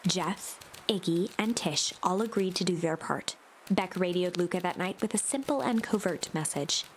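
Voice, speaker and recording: A woman's voice: lowish voice, normal speed, a little hiss or hum, natural voice, low-quality recording. A woman performing as the storybook narrator of an adventure game, reading scripted prose. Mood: measured narration.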